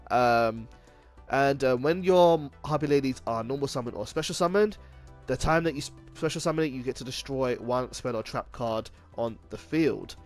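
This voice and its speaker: A man hosting an unscripted card game video, explaining things informally and often correcting himself as he goes. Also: medium pitch. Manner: halting, self-correcting, informal. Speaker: video game deck profile host. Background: music.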